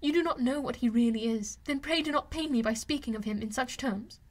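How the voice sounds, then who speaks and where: A woman's voice: high voice, brisk. A woman voicing an earnest, devoted young woman in an audiobook, pleading and tearful. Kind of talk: audiobook character voice.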